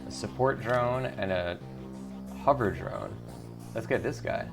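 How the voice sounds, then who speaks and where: A man's voice: lowish voice, a little slow, over music. A man hosting a gaming video, giving casual commentary and reading the game's text aloud. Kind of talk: casual game commentary with read-aloud narration.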